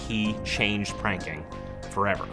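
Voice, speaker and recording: A man speaking as a mock-documentary interviewee, with a deadpan air of authority. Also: on the low side, unhurried, music in the background. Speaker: comedian talking head.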